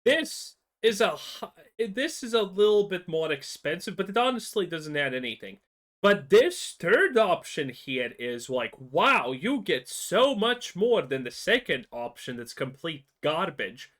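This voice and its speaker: Man streamer, lively bright delivery, blunt and scornful, ranting reaction talk. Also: high-pitched, unhurried. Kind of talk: casual reaction commentary.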